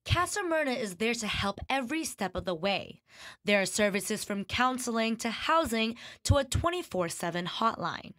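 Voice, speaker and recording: A young female reporter reads her news piece with firm, emphatic delivery. Her voice sits a bit higher than most women's. She speaks into a handheld microphone. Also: big pitch swings, normal speed, a bit bright. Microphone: handheld mic.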